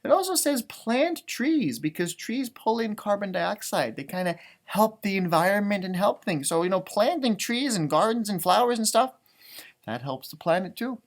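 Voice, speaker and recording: A man reads a storybook aloud for children. His pitch moves around freely and expressively. He draws out the written words, then slips into casual, exclaiming commentary on the pictures. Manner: animated, exclaiming, drawn-out words when reading. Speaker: storybook reader, elementary music teacher. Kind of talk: children's picture book read-aloud with chatty asides.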